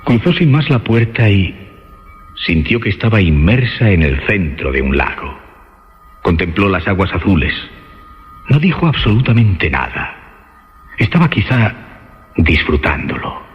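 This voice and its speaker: Deep, expressive Spanish suspense narrator. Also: male voice, a little hiss or hum. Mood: measured. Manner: measured, ominous storyteller. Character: grave male narrator of a suspense tale. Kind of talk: dramatic scripted narration, suspense radio play. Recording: reverberant, dull radio sound with music.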